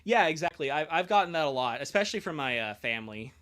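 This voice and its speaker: Bright, higher-voiced young man streaming. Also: expressive, quick pace. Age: young adult. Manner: rambling, self-deprecating, profane. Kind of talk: casual livestream chat.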